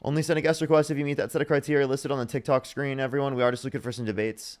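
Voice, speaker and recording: A man's voice: medium pitch, flat delivery, rapid-fire. A young man hosting a live-streamed debate, talking bluntly and repeating his screening questions to each new guest. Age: young adult. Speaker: livestream debate host.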